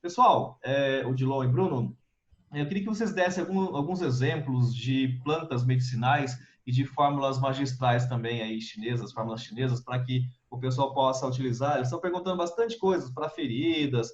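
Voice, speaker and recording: A man hosting a live stream, reading viewer messages and passing the floor to his guests, relaxed and hesitating now and then. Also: medium pitch, highs cut off.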